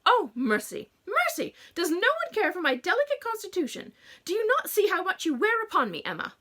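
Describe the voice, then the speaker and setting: A woman's voice: huge pitch swings, brisk, bright, forceful tone, heavily acted. A woman voicing a fretful, overdramatic middle-aged mother who wails and scolds theatrically. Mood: wailing, dramatic. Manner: shrill theatrical wailing.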